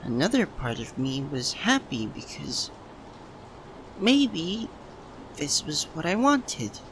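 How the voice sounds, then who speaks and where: A man acts out a young man hopelessly in love with a childhood best friend, in an intimate audio roleplay. The delivery is slow and broken by pauses, with a medium-pitched voice that rises and falls freely with feeling. Some background noise sits behind the voice. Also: big pitch swings, exaggerated voice, poor audio. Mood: sad, regretful. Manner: halting, breaks phrases with long pauses. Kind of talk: ASMR roleplay, emotional dramatic monologue.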